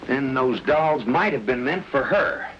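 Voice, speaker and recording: An actor playing a steady, protective, mildly exasperated husband and amateur sleuth in an old-time radio mystery. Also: male voice, medium pitch, measured pace, highs cut off, a little hiss or hum, natural voice. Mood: calm, puzzled. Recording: old radio broadcast with music.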